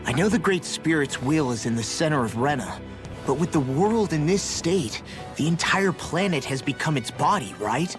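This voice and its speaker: A man playing a blunt, excitable young fighter and protective friend in a video game cutscene. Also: fairly high pitch, normal speed, over music, natural voice. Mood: puzzled.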